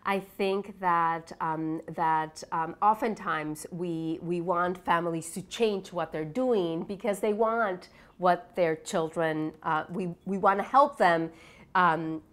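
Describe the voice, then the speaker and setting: Lower-pitched woman from Peru, reflective. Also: a bit bright. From Lima, Peru. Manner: halting, reflective, often seeks agreement with tag questions. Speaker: developmental psychology researcher being interviewed. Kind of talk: explanatory interview answers.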